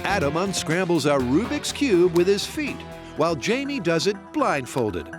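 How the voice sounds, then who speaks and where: Male narrator, slightly high and very animated, playful scripted reads over a music bed. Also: normal speed. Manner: playful, punning delivery.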